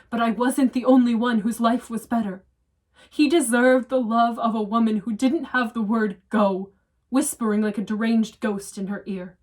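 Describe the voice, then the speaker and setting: A woman performing a dramatic monologue as a regretful, confessional divorced woman, earnest and deliberate. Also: a little high. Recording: voice distant from the mic.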